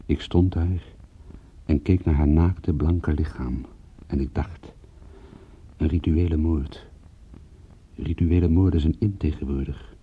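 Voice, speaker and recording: Cynical private eye narrating a radio thriller: deep, very husky, deadpan, slightly muffled old recording. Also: male voice, takes it slowly, pauses often, full character voice. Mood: grim, sardonic. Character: world-weary, cynical male private detective recounting a case. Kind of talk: scripted first-person detective radio-play narration.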